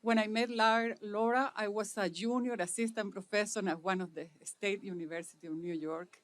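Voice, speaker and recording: A middle-aged woman from Chile with a Chilean Spanish accent, delivering a measured formal speech at an inauguration; Spanish is her first language. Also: medium pitch, steady tone. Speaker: speaker at an inauguration.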